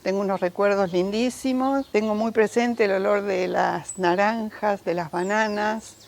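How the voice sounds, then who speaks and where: A woman's voice: mid-range voice, steady tone, unhurried, creaky, slight background noise. An older woman born in Italy, giving a documentary testimony in a reminiscing, storytelling manner. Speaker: interviewee.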